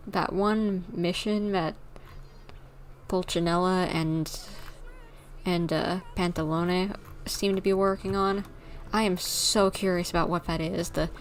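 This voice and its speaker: A woman's voice: lowish voice, slight background noise. A woman livestreaming a video game, chatting casually and thinking aloud in a rambling, informal way.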